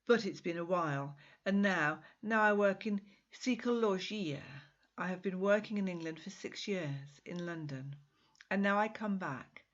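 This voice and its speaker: A woman's voice: on the low side, unhurried, muffled recording, pitched above the performer's own voice, lightly acted. A woman voicing a charming, confident Portuguese man in a story, courteous and flirtatious, with polished English. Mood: confident, chatty.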